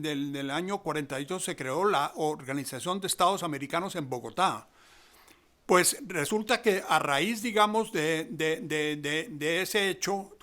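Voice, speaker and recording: A man giving spoken analysis as a theatre commentator, deliberate and halting, repeating words as he goes. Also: sits high, sharp tone.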